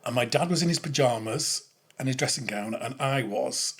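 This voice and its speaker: A man, chatting as a podcast guest in a halting, self-correcting way. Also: mid-range voice, fairly bright tone, breathy edge. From Yorkshire, England. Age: 60s. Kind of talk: candid conversational interview, anecdotes.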